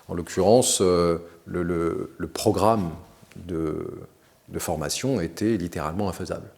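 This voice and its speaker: Deep-voiced Moroccan-raised man, measured. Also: gravelly, darkish tone. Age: 40s. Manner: measured, articulate, frequent hesitations. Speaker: interview guest. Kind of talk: recounting his career to camera.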